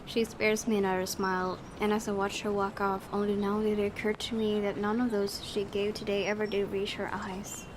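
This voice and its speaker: A woman's voice: medium pitch, level tone, a little fast, hardly any pauses, noisy background. A woman hosting a let's-play, reading a visual novel's narration aloud with dramatic storytelling delivery. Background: game music.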